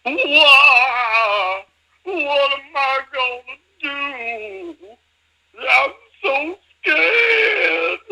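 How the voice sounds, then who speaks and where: A man's voice: very high-pitched, bright, forceful tone, heavily acted, rough recording. A man in an amateur fan dub playing an older, blustering race promoter with an emphatic delivery. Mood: frightened, whimpering. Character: older male race promoter, blustering boss who frets in private.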